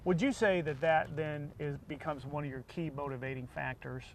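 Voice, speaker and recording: A man's voice: fairly high pitch, steady tone, moderate pace, slight background noise. An American man hosting a casual interview in an informal, chatty way. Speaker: interview show host.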